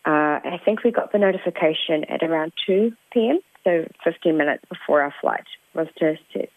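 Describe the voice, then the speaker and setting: Young kiwi woman on a tinny phone line, croaky and tired, lots of ums, lowish voice. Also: fairly quick. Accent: New Zealand. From New Zealand. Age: 20s. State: croaky, tired late at night. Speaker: stranded traveller. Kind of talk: casual phone interview.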